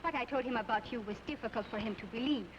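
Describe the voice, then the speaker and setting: A woman playing a proud, sharp-tongued lady. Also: a little high, quick pace, noisy background, lightly acted. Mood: cool. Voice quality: cool, sarcastic. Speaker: outlaw's unwilling fiancée. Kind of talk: 1940s radio acting. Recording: dull old radio broadcast.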